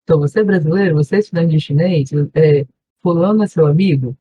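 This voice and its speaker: A woman's voice: low voice, fast, warm tone, breathy edge, no echo, muffled recording. A woman with a Brazilian Portuguese accent, a volunteer teacher giving an online class review, explaining patiently and checking in often with her students. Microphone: video call.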